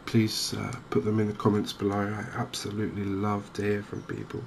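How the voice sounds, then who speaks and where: A man speaks softly in an ASMR gaming style, gentle and unhurried. His voice is on the low side and very even, with little rise or fall. Clear ambient noise runs behind him as he pauses and chats to the viewer. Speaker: gaming video host. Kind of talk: softly spoken ASMR game commentary.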